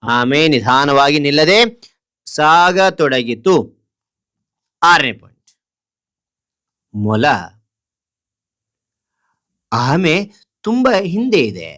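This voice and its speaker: A man teaching like a dictation lesson, reading out short phrases deliberately and repeating them word by word. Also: a little high, big pitch swings, fairly bright tone. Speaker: teacher presenting a children's writing lesson. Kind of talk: slow dictation-style lesson, reading out a story point by point.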